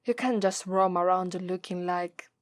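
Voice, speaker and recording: Woman acting a slow, haughty Italian-accented demoness. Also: lowish voice, steady tone, a little hiss or hum, natural voice. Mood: commanding, haughty. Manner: condescending, drawn-out, taunting delivery. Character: haughty, teasing, menacing female demon master. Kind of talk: ASMR roleplay acting.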